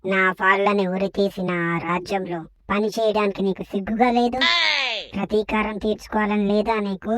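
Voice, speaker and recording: A woman voicing a proud, vengeful young woman in an animated parody, dramatic and challenging. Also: mid-range voice, moderate pace, close to an everyday voice. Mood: indignant. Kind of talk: animated parody comedy voice acting.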